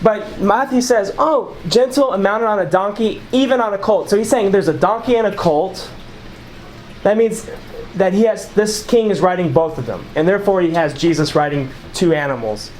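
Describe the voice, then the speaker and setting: A man's voice: high-pitched, normal speed, a little mellow, slight background noise, poor audio. A man leading an informal Bible study, reading scripture passages aloud and explaining them conversationally to a small group. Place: small room with a group of listeners.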